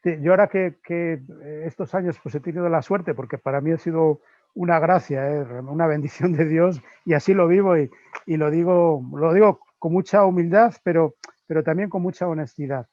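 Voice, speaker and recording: Reflective Spanish friar, slightly high voice. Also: male voice, moderate pace, no echo. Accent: Spain Spanish. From Spain. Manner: reflective, often adds "¿no?" and "eh" while searching for words. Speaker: Dominican friar giving a lecture. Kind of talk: spiritual conference talk. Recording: dull, lo-fi dry recording.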